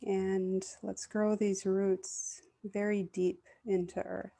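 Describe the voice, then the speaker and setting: Meditation guide, female, soothing and even-toned with creaky vocal fry, unhurried delivery. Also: medium pitch. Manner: gentle, soothing, unhurried with long pauses.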